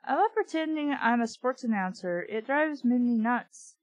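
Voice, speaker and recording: A woman's voice: a little high, expressive, moderate pace, no echo. A woman reading a book aloud casually, with blunt, sweary, opinionated off-the-cuff commentary. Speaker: reader and commentator of a children's book.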